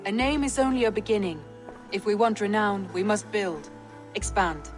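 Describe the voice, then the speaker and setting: An actress playing a pragmatic Viking woman strategist in a video game cutscene, measured and serious. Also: medium pitch, faint music behind, natural voice.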